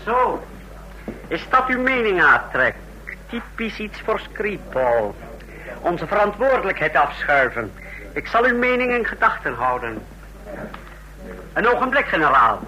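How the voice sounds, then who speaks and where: Sharp-edged secret police chief. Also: male voice, high voice, sing-song, measured pace, long pauses, exaggerated voice, low-quality recording. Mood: sharp, formal. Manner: formal, military. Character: stiff, self-important secret police chief of a fascist state. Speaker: radio drama actor. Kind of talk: mid-century radio play acting. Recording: old radio drama recording.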